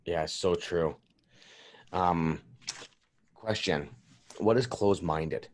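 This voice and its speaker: A man hosting an interview in a casual, informal and halting conversational manner. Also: low voice, slight background noise. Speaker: podcast host, interviewer.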